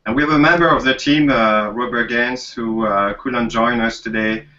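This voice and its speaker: A male museum curator talking casually on a video chat, halting with many fillers. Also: medium pitch, steady tone, sharp tone, recorded from a distance, highs cut off. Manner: halting, frequent "uh".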